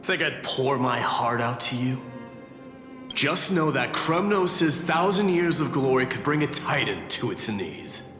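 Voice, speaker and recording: A man voices a proud, haughty prince of warriors in a fantasy game. He speaks slowly and formally, with menace and wide swings of pitch. A long reverb trails his words. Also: animated, lightly acted. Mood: haughty, threatening. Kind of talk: video game voice acting. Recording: echoey hall reverb, music behind.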